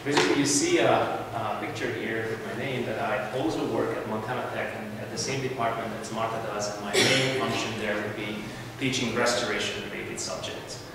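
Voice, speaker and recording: A man with a Hungarian accent giving a conference presentation as a university lecturer, speaking haltingly and often correcting himself. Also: on the low side, fairly even intonation. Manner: halting, with frequent "uh" fillers and self-corrections. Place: large lecture hall. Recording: echoey hall, mic far off, noisy.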